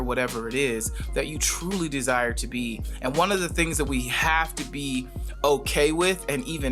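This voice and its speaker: A man's voice: mid-range voice, a bit bright. A man hosting a podcast, introducing the show and his guest in an upbeat way with many ums and uhs. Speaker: podcast host.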